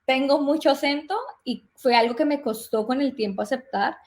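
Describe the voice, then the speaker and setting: Colombian woman telling lively stories. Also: high-pitched, moderate pace. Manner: lively storytelling, plays out remembered dialogue. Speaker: guest. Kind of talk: casual interview talk.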